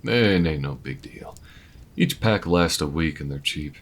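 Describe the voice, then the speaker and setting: A man's voice: a bass voice, lively intonation, measured pace, full character voice. A man voicing a laid-back, protective alien gunslinger in an intimate audio roleplay, with folksy, hesitant, reflective speech. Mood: gentle, reassuring. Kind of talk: slow-burn audio roleplay, intimate monologue to listener.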